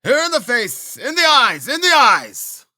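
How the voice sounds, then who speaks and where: Man doing a drunk brutal peasant man, frantic shouting, high strained voice, dry close sound. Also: very animated, a little slow, dry recording, full character voice. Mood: ferocious.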